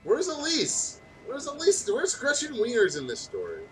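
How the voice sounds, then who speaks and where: A man reads fan fiction aloud with a lot of swing in his pitch. He is casual and jokey, breaking into asides and stumbling over lines. Faint music plays behind him. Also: normal speed. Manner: animated, stumbles over words while reading. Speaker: let's play co-host reading fan fiction aloud.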